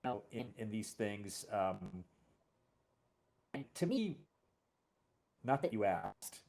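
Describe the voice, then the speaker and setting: Man, lowish pitch, casual interview talk, halting, repetitive phrasing, thinking aloud. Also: big pitch swings, creaky, darkish tone. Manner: halting, repeats words, often says "you know". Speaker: series creator and show host. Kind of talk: casual conversation about a fiction series.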